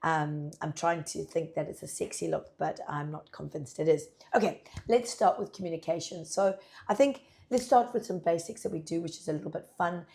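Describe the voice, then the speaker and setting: A husky, slightly croaky woman talks through a farm update in a chatty, upbeat way, with plenty of ums. Her voice sits a little low and sounds dark and mellow. She speaks from a pack house office. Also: normal speed. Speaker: farm labour manager. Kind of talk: casual informative vlog.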